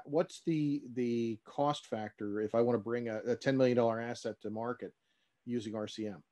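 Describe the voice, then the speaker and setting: A man in his fifties hosts an interview over a video call. He asks long, halting questions full of fillers. His voice sits in an ordinary middle pitch. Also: steady tone, warm tone. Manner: hesitant, many fillers. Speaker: interviewer host.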